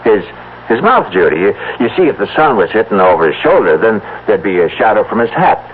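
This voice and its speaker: A worried, doubting husband in a radio drama. His lowish voice moves up and down a great deal as he presses impatiently with questions. The recording is thin and boxy, like an old radio broadcast. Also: sing-song, brisk. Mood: exasperated. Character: skeptical, worried husband pressing for rational answers. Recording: tinny, band-limited, noisy old radio broadcast.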